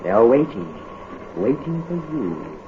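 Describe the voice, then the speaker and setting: A man's voice: mid-range voice, very animated, a little slow. A man giving a dramatic, ominous introduction to a 1930s radio show, heard through a tinny hissy recording. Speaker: announcer.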